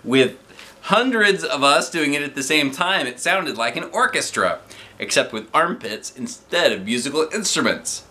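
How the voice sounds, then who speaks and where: A man in his forties with an American accent, reading a children's book aloud in an expressive, playful way with casual asides. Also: a little high, moderate pace. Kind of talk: animated children's storybook narration with casual asides. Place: living room, seated on a sofa. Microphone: camera a short distance in front.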